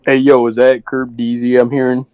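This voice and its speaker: A man's voice: mid-range voice, level tone, moderate pace, clear, resonant tone, no room sound, muffled recording. A man bantering casually with friends on a livestream, giggly, crude and teasing.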